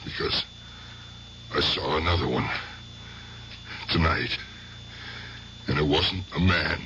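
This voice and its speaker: An actor playing an aging, haunted gangster, a doting uncle with streetwise, ungrammatical, halting talk, in a radio drama. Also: male voice, rumbling low, pushed and bright, lo-fi sound, heavily acted. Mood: agitated, insistent. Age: older. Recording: noisy radio drama recording.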